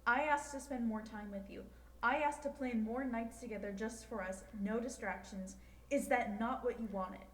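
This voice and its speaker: A woman plays an exasperated girlfriend in a roleplay drama. Her voice is a bit high and comes from a distance with heavy room echo. She argues with wounded, accusing sarcasm. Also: normal speed, natural voice. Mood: hurt, bitter. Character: hurt, exasperated girlfriend in a bitter breakup argument. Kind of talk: audio roleplay acting. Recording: echoey, distant memory-like sound, faint noise.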